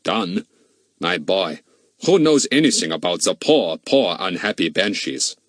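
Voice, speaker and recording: A man voicing a big, burly, solemn Austrian owner in an audiobook, with a very deep voice, an Austrian accent and a slow, theatrical, lamenting way of talking. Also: sing-song, no echo, full character voice. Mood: mournful.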